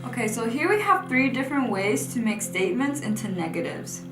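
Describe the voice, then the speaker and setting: A woman's voice: a little high, very animated, a little fast, reverberant, music in the background, poor audio. A young woman teaching a language lesson, patiently explaining examples one by one at a whiteboard. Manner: clear, patient explaining, often pointing at a whiteboard. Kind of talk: scripted lesson narration.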